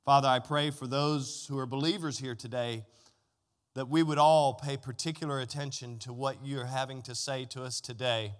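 A man's voice: mid-range voice, steady tone, normal speed, smooth. A middle-aged American man preaching a sermon in a conversational, emphatic way, putting rhetorical questions to his listeners. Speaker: pastor. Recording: echoey hall with long reverb.

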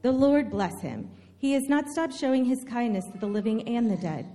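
A woman playing a caring older mother-in-law in a dramatic scripture reading, measured and earnest as she gives counsel. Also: a little high, animated, dark tone, close to an everyday voice. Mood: grateful, curious. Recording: long hall reverb.

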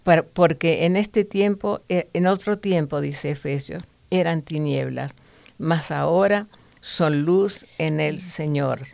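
A woman's voice: low voice, slow pace, vocal fry, darkish tone, no echo. A woman teaching from the Bible to other women in an earnest, preachy way, with fillers and restarts.

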